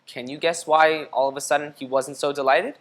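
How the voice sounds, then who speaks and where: A woman with a low-pitched voice reads a picture book aloud for children. She is expressive and teacherly, moving between narration and questions put to her listeners. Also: fairly quick. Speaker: storybook reader.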